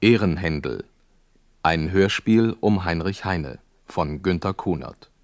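A man's voice: low voice, animated, takes it slowly, no echo, clean studio sound. A man reading radio play credits as a formal, scripted announcement.

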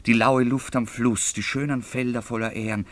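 A man's voice: mid-range voice, steady tone, moderate pace, no echo, lightly acted. An actor playing a passionate young baron in a radio drama, declaiming his wooing in poetic, theatrical tones. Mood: ardent, tender. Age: young adult.

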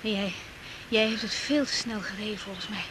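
A woman's voice: medium pitch, fairly even intonation, normal speed, background noise, close to an everyday voice. An actress playing an earnest, open young woman doctor in a naturalistic radio drama. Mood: relaxed.

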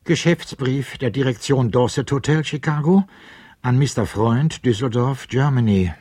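A man's voice: medium pitch, unhurried, darkish tone, breathy edge, no room sound. A man narrating a radio play, announcing each letter in a dry, formal manner.

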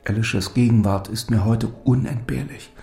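Creaky-voiced lovesick lord. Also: male voice, on the low side, fairly even intonation, moderate pace, dark tone. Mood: melancholy confession. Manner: earnest, solemn, literary phrasing. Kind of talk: German audio drama acting. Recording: music under the voice.

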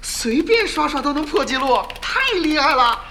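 Eager young gamer, played by a woman, audio drama, animated and excitable, quick to get exasperated. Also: high voice, background noise. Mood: gushing admiration. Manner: excitable, blunt. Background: music.

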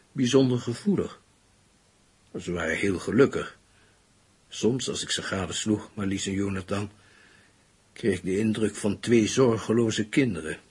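An actor playing a grieving middle-aged father in a radio drama, formal and halting. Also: on the low side, slightly rough. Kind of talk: Dutch radio drama acting.

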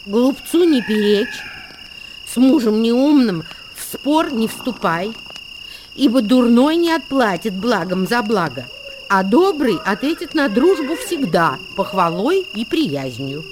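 Female, radio-drama verse reciter: deliberate declamation, slow tempo, richly varied melody, music bed underneath. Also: on the high side, big pitch swings, noisy background. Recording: music behind the voice.

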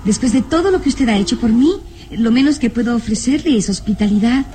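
Actress as high-voiced, eager young noblewoman. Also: brisk, slight background noise, natural voice. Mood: gracious. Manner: coy, animated, theatrical. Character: spirited young noblewoman, charming, eager, willful. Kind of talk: Spanish-language radio serial acting.

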